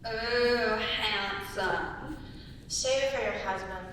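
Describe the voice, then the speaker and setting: A woman's voice: slow, fairly bright tone. A young woman acting admiring, playful women of ancient Athens in a live dramatic script reading. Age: young adult. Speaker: student actor. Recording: very echoey hall, distant mic, rumble.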